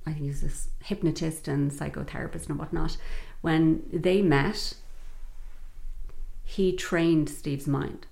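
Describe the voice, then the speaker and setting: Low gravelly Dublin woman. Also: darkish tone, rough recording. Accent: Dublin Irish. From Ireland, Dublin north side. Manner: reflective, frequent fillers, laughs while telling stories. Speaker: guest. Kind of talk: conversational interview storytelling.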